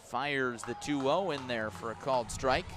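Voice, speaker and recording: A man's voice: medium pitch, normal speed, over music. Man calling baseball on radio. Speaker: baseball play-by-play announcer for a team's radio broadcast. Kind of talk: live radio sports commentary. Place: outdoor ballpark at night.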